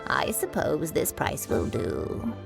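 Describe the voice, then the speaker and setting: A worn-out elderly woman selling wares in a game, with a creaky, gravelly tone. She drags every word out slowly, sounding resigned to her age. Game music sits clearly behind her. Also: deep voice, huge pitch swings, heavily acted. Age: older. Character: elderly female market vendor, weary.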